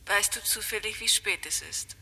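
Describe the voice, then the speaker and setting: A whispering ghostly caller. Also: female voice, medium pitch, exaggerated voice. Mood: coaxing, eerie. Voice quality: breathy whisper. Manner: soft, coaxing, unhurried. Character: eerie whispering woman, dead first wife calling back. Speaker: mysterious caller on the phone. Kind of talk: radio play acting.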